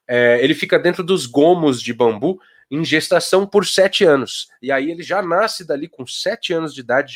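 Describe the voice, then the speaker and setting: A man from São Paulo with a Paulista accent, talking casually on a live video call as a children's author, full of rhetorical questions. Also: medium pitch. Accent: Paulista Brazilian Portuguese. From São Paulo, Brazil. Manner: conversational, asks rhetorical questions, briefly voices old folks.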